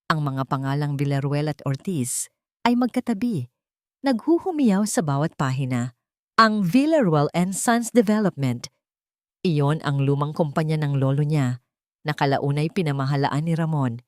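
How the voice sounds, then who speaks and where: A woman narrates a dramatic scripted story in a low-pitched voice. Her delivery is earnest and theatrical, and the recording is close and dry. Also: lively intonation, moderate pace, darkish tone, no echo.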